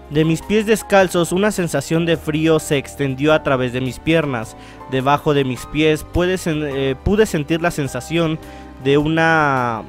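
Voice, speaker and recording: A man hosting a visual novel let's-play, chatting casually and reading the text aloud in a halting way, often correcting himself. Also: fairly high pitch. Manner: halting read-aloud with stumbles and self-corrections. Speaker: gaming channel host.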